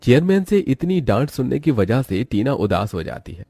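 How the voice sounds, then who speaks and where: A man's voice: medium pitch, very animated, normal speed, vocal fry, warm tone, breathy edge, dry recording. A man reading scripted narration for a moral story as its narrator.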